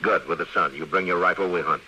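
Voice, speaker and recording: An actor with an American accent playing a steady, authoritative frontier lawman in an old radio western, asking direct, probing questions. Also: low-pitched, fast talker, lo-fi sound. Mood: firm, probing. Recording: faintly noisy vintage radio recording.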